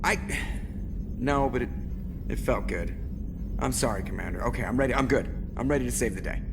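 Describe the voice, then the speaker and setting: A man's voice: mid-range voice, fairly quick, vocal fry, background noise, natural voice. An actor playing an irreverent, grumbling male starship pilot who talks informally and sarcastically in a video game. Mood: sheepish, grumbling. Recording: music behind the voice.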